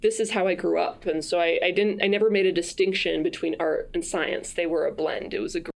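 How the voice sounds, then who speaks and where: A woman's voice: lowish voice, fairly even intonation, a little fast, gravelly. A woman from France, a podcast guest, talking through her background in a thoughtful way, with many restarts. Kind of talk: casual conversational interview.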